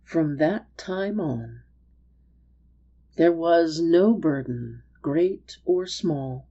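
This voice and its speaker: A woman reads a story aloud with drama. Her voice is low and soft-edged, and she takes her time over each phrase. The recording sounds a bit dull. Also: animated, drawn-out words.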